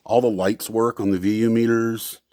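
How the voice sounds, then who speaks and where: A man with a fairly low voice walks through used equipment he is selling, casual and unscripted. He thinks aloud, often halting and unsure mid-sentence. The sound is very dry, with no room echo. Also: fairly even intonation, darkish tone. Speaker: seller demonstrating used equipment.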